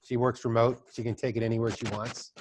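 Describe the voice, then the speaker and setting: A man's voice: mid-range voice, level tone, fast, a little mellow, slight background noise, rough recording. A middle-aged man hosting a podcast, chatting casually and telling loose stories with asides. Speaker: podcast host. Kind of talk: casual conversation.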